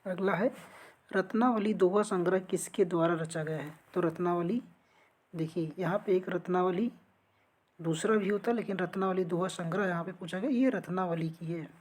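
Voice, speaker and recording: A woman's voice: fairly low pitch, normal speed, a little mellow. A woman teaching an exam-prep lesson, reading out quiz questions and answering them in an instructional way. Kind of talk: lesson going through multiple-choice quiz questions.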